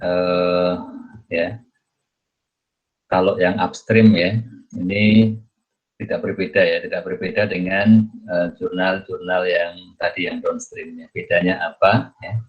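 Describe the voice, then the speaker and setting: A man giving an explanatory lecture as a teacher, in a halting, repetitive manner with frequent checking tags. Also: low voice, level tone. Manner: halting, repetitive, punctuates phrases with a checking tag. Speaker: accounting lecturer. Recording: dull, muffled lo-fi audio.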